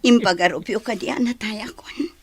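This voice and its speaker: A woman's voice: mid-range voice, fairly quick, breathy edge, no room sound. A woman playing an earnest grown daughter in an Ilocano radio drama, speaking emotionally with an Ilocano accent. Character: earnest grown daughter reunited with her father.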